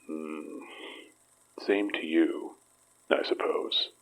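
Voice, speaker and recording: A man playing a grieving, remorseful father in an audio drama, speaking haltingly in a husky half-whisper. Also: very deep voice, steady tone, a bit bright, exaggerated voice, poor audio. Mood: weary, bitter. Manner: slow, halting, solemn. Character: grieving, remorseful father who counts himself evil.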